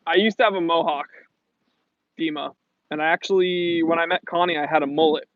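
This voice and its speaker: A man's voice: on the high side, fairly even intonation, brisk, no echo. An American man from New England hosting a casual livestream Q&A, chatting in a slangy, jokey, rambling way. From New England, USA. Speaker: livestream host. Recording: muffled lo-fi audio.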